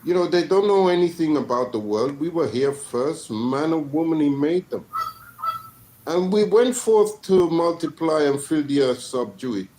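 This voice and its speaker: A reverend from London calling into a panel, speaking haltingly and repeating phrases as he rambles. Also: on the high side, expressive, mellow, rough recording. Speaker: caller, reverend. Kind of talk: rambling call-in speech.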